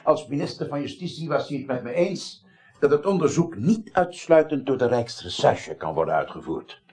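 A fatherly parliament chairman in an eighties radio play. His husky voice swings expressively as he persuades in a courtly, formal way. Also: medium pitch, very animated, normal speed, darkish tone. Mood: paternal, persuasive. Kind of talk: 1980s Dutch radio drama acting.

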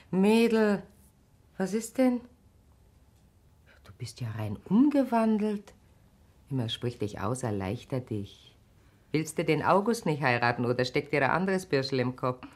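Very low-voiced, motherly wife. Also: expressive, slow, pauses often, a little mellow. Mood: concerned. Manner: coaxing, frank. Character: motherly, shrewd middle-aged wife, probing gently. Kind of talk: naturalistic radio play acting.

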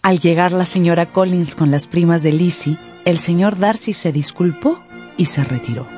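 Mellow-voiced woman narrating a radio drama. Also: lowish voice, normal speed, soft background music.

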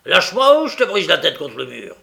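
A man voicing a brutal, fierce robber in an audiobook story, with a gruff and threatening manner. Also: a little high, expressive, unhurried, a bit bright, full character voice. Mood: savage threat. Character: brutal, fierce male robber with a savage temper.

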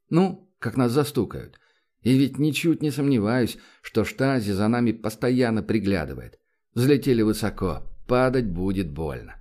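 A man's voice: medium pitch, darkish tone. A man reading a first-person novel aloud as an audiobook narrator, with a wry, confiding storytelling manner.

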